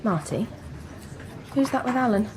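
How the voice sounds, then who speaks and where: An actress playing a sharp-tongued young female research student in a naturalistic radio drama, with a catty, challenging manner. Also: on the high side, expressive, unhurried, noisy background. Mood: catty, mocking. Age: young adult.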